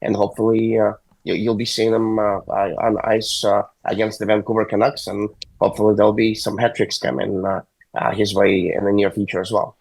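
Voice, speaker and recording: A man who is a hockey agent, hoarse and sick, calling in from a hospital and talking haltingly with many fillers. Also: lowish voice, flat delivery, a little mellow, no echo, low-quality recording. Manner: halting, many uhs and restarts. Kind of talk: phone-in interview. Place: hospital room. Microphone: phone call.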